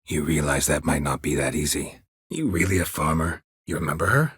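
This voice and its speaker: Gruff game monster hunter, super raspy low voice, dry and deadpan, close dry sound. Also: male voice, brisk, dry recording, full character voice. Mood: casual, probing. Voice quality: low, gravelly, husky. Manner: terse, dry, deadpan, clipped sentences. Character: gruff, weary, sardonic male monster hunter for hire. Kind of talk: video game character dialogue.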